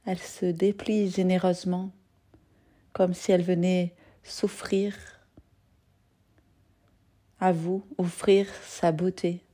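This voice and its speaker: Woman, slightly low pitch, mellow relaxed tone, soft-spoken, soothing guided meditation, lingering pauses. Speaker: yoga nidra teacher.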